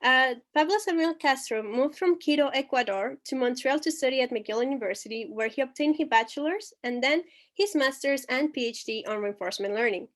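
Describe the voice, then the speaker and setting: A woman's voice: high voice, expressive, fairly quick, few pauses. A woman hosting an online event, reading a speaker introduction from notes with small stumbles. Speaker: event host.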